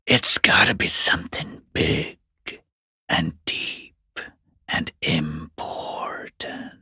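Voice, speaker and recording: A man voicing a foul-mouthed, sarcastic watcher in a video game, taunting in a rough, breathy, creaky half-whisper. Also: on the low side, bright, forceful tone, no room sound, studio quality, exaggerated voice. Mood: bitter sarcasm. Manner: sarcastic, taunting, drawn-out mockery. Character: sneering, foul-mouthed watcher peeking through a harbour gate gap. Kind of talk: video game character voice acting.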